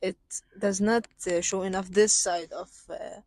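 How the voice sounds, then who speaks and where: A woman interviewing a fellow student outdoors on a campus, asking her questions haltingly with fillers and restarts. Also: mid-range voice, steady tone, darkish tone.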